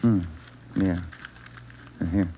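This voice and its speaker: A man's voice: low voice, fairly even intonation, takes it slowly, slight background noise. An American man acting a no-nonsense detective partner, curt and plain-spoken, in old radio drama style. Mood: relaxed. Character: gruff, no-nonsense male police detective partner. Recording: dull vintage radio recording.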